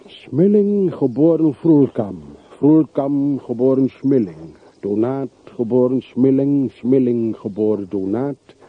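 A man's voice: medium pitch, expressive, slow pace, few pauses, slightly rough, no room sound. An actor playing a bitter, world-weary middle-aged man in a radio play, speaking tersely and broodingly on an old recording. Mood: bitter, detached. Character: bitter, world-weary man revisiting his hometown's dead. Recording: muffled, phone-like, noisy old radio recording.

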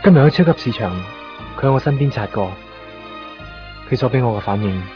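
A man's voice: medium pitch, animated, a little fast, natural voice. An actor playing a heartsick man in a radio drama, confiding a downcast inner monologue with a Hong Kong accent. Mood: dejected. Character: heartsick man brooding over a lost love. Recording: dull 1990s radio recording, music behind. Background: clear background music.

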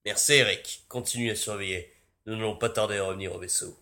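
A man acts out a terse mercenary commander in an audio space opera. The voice is slightly low with a crisp, bright edge. It is matter-of-fact and sounds roomy. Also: steady tone, fast. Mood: alert, businesslike. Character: brisk, businesslike male mercenary leader. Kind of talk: science-fiction audio drama acting.

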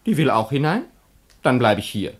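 A petulant, fickle young lover. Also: male voice, mid-range voice, very animated, normal speed, slightly rough. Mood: petulant, disgusted. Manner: complaining, declamatory. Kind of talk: theatrical radio play acting of classical comedy.

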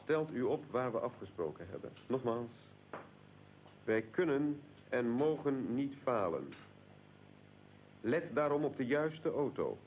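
Slow, fervent conspirator leader. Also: male voice, mid-range voice, very animated, muffled recording, a little hiss or hum. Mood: fervent, rousing. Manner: oratorical, deliberate, emphatic. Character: fervent, solemn Serbian nationalist conspirator leader rallying assassins. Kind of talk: radio drama speech.

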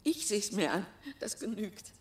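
A woman's voice: mid-range voice, sing-song, a little slow, darkish tone. A woman playing a nervous, guarded older woman, retired, with a questioning manner in a radio play. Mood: flustered. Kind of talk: German radio play acting.

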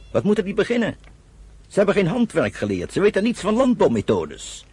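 Husky, steadfast young pioneer. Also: male voice, a little high, darkish tone, heavily acted. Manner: earnest, encouraging. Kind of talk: Dutch radio play acting.

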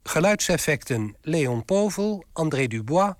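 A dry, close-sounding man announces in a formal, scripted radio style. His voice sits a little high for a male, and he reads credits at a measured, unhurried pace. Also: big pitch swings, dry recording.

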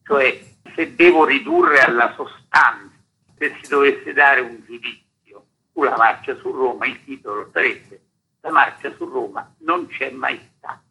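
An older man with a very raspy, gravelly voice, interviewed as an author. He explains things like a storyteller, emphatic and didactic, repeating phrases to drive each point home. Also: medium pitch, a little slow, pushed and bright, poor audio. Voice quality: husky, rough, gravelly. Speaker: author being interviewed about a history book.

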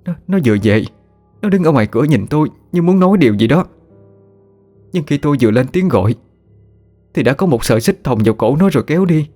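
A man's voice: on the high side, expressive, fast, long pauses, dark tone, soft background music, pitched above the performer's own voice. A man voicing a grieving older mother who wails and pleads for her dead son. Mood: distraught. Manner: wailing, sobbing pleas.

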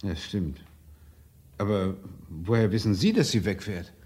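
An actor in a German crime radio drama playing an uneasy, blackmailed doctor, guarded, terse and hesitant. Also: male voice, on the low side, expressive, a little slow, darkish tone. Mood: anxious.

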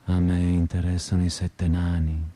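Low, mellow, restless young man, acted by a man. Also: monotone, moderate pace, natural voice. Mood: restless, wry. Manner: intimate, confessional, crude-mouthed. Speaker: stage actor. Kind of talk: theatrical radio monologue.

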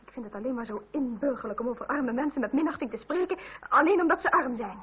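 An actress playing a poised young aristocratic lady in a Dutch radio drama, polite, wry and gently mocking. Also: high voice, fast, close to an everyday voice. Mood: wry, pointed. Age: young adult. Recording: tinny, band-limited, hissy old radio recording.

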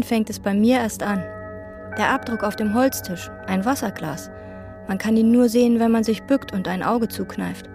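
An actress playing a withdrawn, meticulous chambermaid who speaks precisely and detachedly, in radio drama and inner monologue. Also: female voice, on the high side, darkish tone, close to an everyday voice. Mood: inward. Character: meticulous, withdrawn woman chambermaid obsessed with cleaning. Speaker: hotel chambermaid.

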